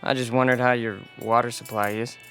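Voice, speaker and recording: A man's voice: mid-range voice, level tone, brisk, a bit bright, faint music behind, natural voice. An actor playing an eager, awkward young biker in a radio drama, hesitant and stiff as he tries to fit in. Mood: awkward, curious. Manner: hesitant, stiff attempts at slang.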